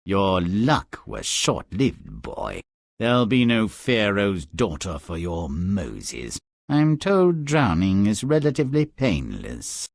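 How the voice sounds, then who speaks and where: Gravelly, pompous fairy tale king. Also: low-pitched, huge pitch swings, no echo, exaggerated voice. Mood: smug, menacing. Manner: pompous, menacing, drawn-out delivery. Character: haughty, scheming, cruel fairy tale king. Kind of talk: theatrical fairy tale game acting.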